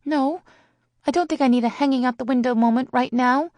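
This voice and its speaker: A woman voicing a sweet but anxious teenage girl in an audiobook, prim and quick to snap. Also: sits high, a little mellow, dry recording, voice pushed up, close to an everyday voice. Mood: flustered, prim.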